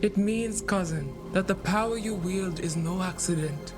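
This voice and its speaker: An actor, a man, playing a solemn, cryptic seer in a fantasy video game, speaking in measured, oracular phrases. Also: high-pitched, music in the background, close to an everyday voice. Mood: grave. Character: solemn Qunari seer, vessel for spirits, cryptic and grave.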